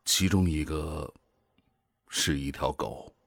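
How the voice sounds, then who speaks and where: An actor playing a weary, guilt-ridden older male commander in a radio drama, brooding and deliberate with sighs. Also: rumbling low, vocal fry, fairly bright tone, dry recording, clean studio sound, full character voice. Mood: quiet resolve. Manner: brooding, deliberate, sighing soliloquy.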